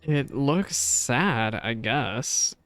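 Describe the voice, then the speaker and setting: A man streams casual reactions to a video game. His voice sits at a middling pitch with animated swings as he chats and muses aloud. Faint game music runs underneath. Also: extremely slow. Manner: chatty, musing aloud, echoes game lines.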